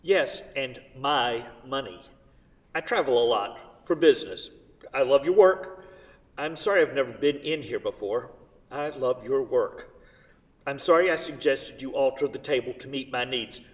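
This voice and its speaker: A man's voice: mid-range voice, expressive, a little slow, close to an everyday voice. A wealthy divorced art patron played by a man, earnest and insistent, with wistful devotion under the effusive praise. It is a staged play reading, heard at a distance in a reverberant hall. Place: theatre stage. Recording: echoey hall, distant microphone.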